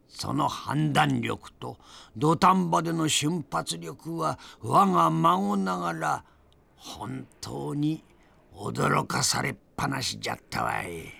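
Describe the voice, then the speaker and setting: An actor playing a spirited old grandfather in an audio drama, narrating his memories with theatrical, deliberate pauses and a gravelly voice. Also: a little high, fairly bright tone, heavily acted. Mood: fond, proud. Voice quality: gravelly, creaky. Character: spirited old grandfather reminiscing fondly about past adventures.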